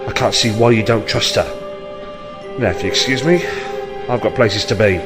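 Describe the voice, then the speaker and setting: An actor playing a blunt, harried 1960s police detective in an audio drama, with an English accent and an exasperated, colloquial manner. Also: male voice, on the low side, moderate pace, music in the background, lightly acted. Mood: tense, defensive.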